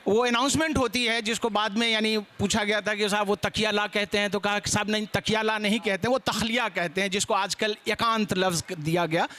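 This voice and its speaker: A man's voice: high-pitched, steady tone, brisk, few pauses, bright, forceful tone. A man speaking as a panelist at a seminar, talkative and given to digressions.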